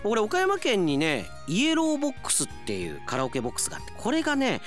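Lively male radio announcer over music. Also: high voice, fast talker. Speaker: radio announcer, host and drama narrator. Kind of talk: radio presenting and scripted narration. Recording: music bed behind the voice.

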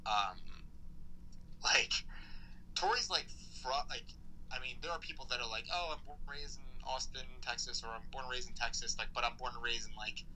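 Young american guy chatting loosely on a podcast, real sharp bright voice, bit of background noise. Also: mid-range voice, normal speed, poor audio. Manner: rambling, informal, frequent "like" and swearing. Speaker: podcast guest, BMX rider. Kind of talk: casual conversational interview.

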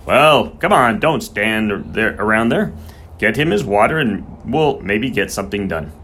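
A man's voice: on the low side, lively intonation, brisk, a bit bright, a little hiss or hum. A man voicing a sneering, bad-tempered horse-keeper in an audiobook, snarling and sarcastic. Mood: scornful, impatient.

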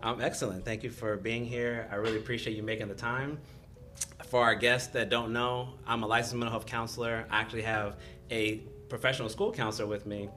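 A man hosts a conversational podcast, greeting his guest warmly and drawing her out with easy questions. His voice sits in an ordinary middle register. Faint music runs under the talk. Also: medium pitch, flat delivery, a little fast. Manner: friendly, encouraging, frequent short acknowledgements. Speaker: podcast host, counselor. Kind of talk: conversational interview.

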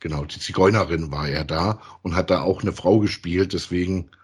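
Male podcast co-host, deep and husky, casual conversational delivery. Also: normal speed. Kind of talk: casual chat about audio dramas.

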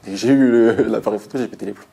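A man in his thirties from France, a photographer speaking in a colloquial, emphatic and animated way. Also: fairly low pitch, very fast, creaky, breathy edge. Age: 30s. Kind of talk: documentary interview testimony.

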